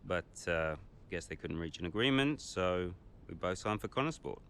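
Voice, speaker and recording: A cocky, needling racing driver. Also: male voice, sits low, expressive, moderate pace, fairly bright tone, a little hiss or hum. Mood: smug, dismissive. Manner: mocking, breezy, self-assured. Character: cocky, smug male racing driver, needling his teammate. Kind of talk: naturalistic video game cutscene acting.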